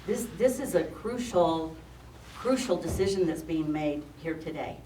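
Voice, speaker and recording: An older woman with a loud voice giving an emphatic, frustrated public comment at a county meeting. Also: low-pitched, lively intonation, a little slow. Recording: echoey room, distant mic, faint noise.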